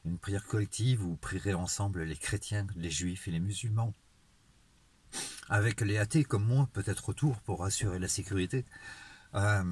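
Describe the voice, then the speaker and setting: A man, speaking an earnest, improvised monologue to camera in a halting way with searching pauses. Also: low voice, a little mellow, husky. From Marseille, southern France. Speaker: vlogger. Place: outdoors in a town street at night, later inside a car. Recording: noisy phone selfie audio, ambient noise.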